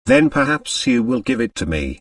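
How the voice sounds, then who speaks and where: A man's voice: mid-range voice, huge pitch swings, normal speed, vocal fry, no echo, clean studio sound, far from the mic, heavily acted. A man voicing a reserved British woman traveller, polite, composed and guarded, in an audiobook. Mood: cool, guarded.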